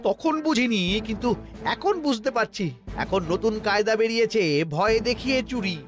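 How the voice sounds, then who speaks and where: A blustering royal minister from a comic cartoon, with a very high, whining voice. He grumbles sarcastically and turns cowardly and stammering in fear, over prominent music. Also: animated, normal speed. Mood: aggrieved. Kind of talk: Bengali comic cartoon acting. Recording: background music.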